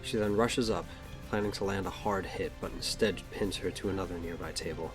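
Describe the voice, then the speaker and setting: A man's voice: low voice, fairly even intonation, brisk, over music. A man narrating a scripted audio drama, describing the action as the story's narrator.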